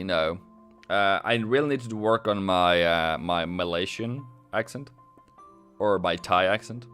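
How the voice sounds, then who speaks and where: A low-voiced man from Norway chats loosely on a live trading stream, with a lively, swinging pitch. He rambles, laughs often and keeps restarting his sentences. Faint music plays in the background. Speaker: forex trader on a live stream. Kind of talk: casual live-stream chat.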